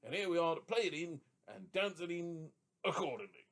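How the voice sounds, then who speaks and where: A man's voice: on the high side, animated, normal speed, a bit bright. Man doing a jolly tipsy drummer making a speech, common talk. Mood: jovial. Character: tipsy male drummer, jovial neighbour.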